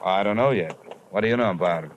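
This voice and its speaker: An actor playing a hard-boiled, wisecracking insurance investigator in old-time radio detective style, clipped and sardonic. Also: male voice, low-pitched, quick pace, muffled recording, natural voice. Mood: probing. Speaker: lead investigator and narrator. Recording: hissy vintage radio recording.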